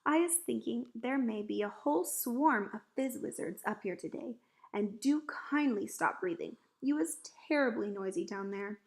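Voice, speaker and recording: A woman voicing a big, excitable but kindly male giant in a storybook, dramatic and exclamatory, with quaint mangled grammar. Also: fairly high pitch, normal speed, lightly acted. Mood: hushed bossy urgency.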